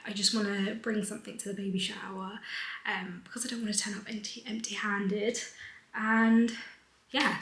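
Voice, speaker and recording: A young British woman chatting breezily to camera, a little hoarse, her words rambling and sometimes dropping into a half-whisper. The sound bounces around an echoey room. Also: medium pitch, fairly bright tone. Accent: British English. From United Kingdom. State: slightly hoarse. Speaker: vlogger. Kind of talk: casual chatty vlog.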